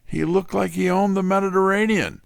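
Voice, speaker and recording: An actor playing a wry, tough, wisecracking detective's assistant in hardboiled first-person mystery narration and dialogue. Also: male voice, sits high, fairly even intonation, moderate pace, vocal fry, no room sound, studio quality.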